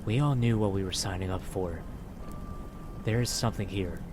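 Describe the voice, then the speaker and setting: A man's voice: fairly low pitch, normal speed, noisy background, close to an everyday voice. A man playing a somber, resolute occult adventurer, speaking formally and earnestly in a fantasy horror role-play. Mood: somber resolve. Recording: music behind the voice.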